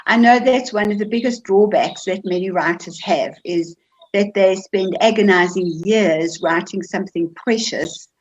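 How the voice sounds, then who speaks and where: Hesitant woman with a mid-pitched voice. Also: dull audio, a little hiss or hum. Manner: hesitant, halting, repeats words. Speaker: seminar participant asking questions. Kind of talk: casual question and discussion. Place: online video-call seminar.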